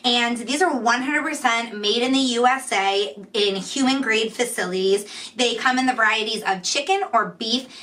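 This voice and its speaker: A woman's voice: on the high side, normal speed, a bit bright. A woman, giving an informal product review to camera. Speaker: pet product reviewer and host.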